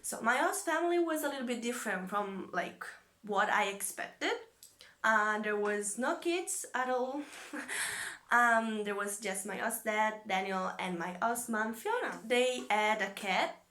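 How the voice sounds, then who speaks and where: 18 yo italian girl, italian accent, bright sharp voice, chatty with lots of "like"s. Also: a little high, expressive, a little slow. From Italy. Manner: chatty, with frequent fillers. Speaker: former exchange student. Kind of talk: casual vlog chat.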